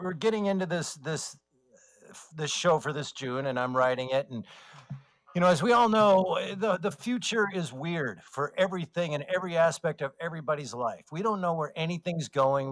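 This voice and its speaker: A man's voice: a little high, a bit bright. A man chatting casually as an interview guest, joking and often starting his sentences over. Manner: joking, halting with false starts. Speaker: radio theater writer and voice actor, interview guest. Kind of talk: casual chat.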